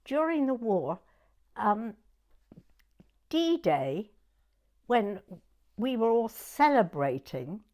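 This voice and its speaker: Elderly southern English woman, very lively intonation. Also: on the high side, extremely slow, pauses often. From southern England. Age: 90s. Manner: chatty, animated, repeats herself. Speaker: interviewee recalling her youth. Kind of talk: oral history reminiscence.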